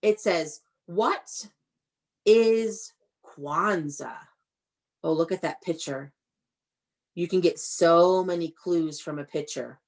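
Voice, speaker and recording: A woman's voice: fairly low pitch, slow, long pauses. A woman teaching young children in an interactive online read-aloud, enunciating key words and prompting them to repeat, recorded over a video call. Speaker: elementary school teacher.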